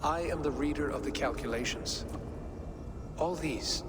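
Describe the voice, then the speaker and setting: An actor playing a calm, otherworldly reader of possible futures in a video game, precise and composed. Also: male voice, fairly high pitch, unhurried, natural voice. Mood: serene, welcoming. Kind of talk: video game cutscene acting. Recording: clear ambient noise behind voice.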